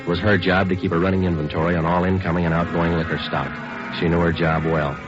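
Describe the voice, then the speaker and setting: An actor playing a stoic, businesslike police sergeant in a 1950s radio crime drama, clipped and matter-of-fact. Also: male voice, sits low, flat delivery, fast talker, music in the background, lightly acted. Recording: dull old radio recording.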